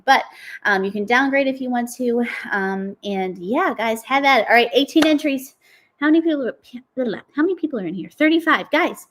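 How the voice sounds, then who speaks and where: Chatty woman live-streaming, high voice. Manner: upbeat, chatty, many fillers. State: voice worn from a cold. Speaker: co-host of a live product demo. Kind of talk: casual live-stream chat.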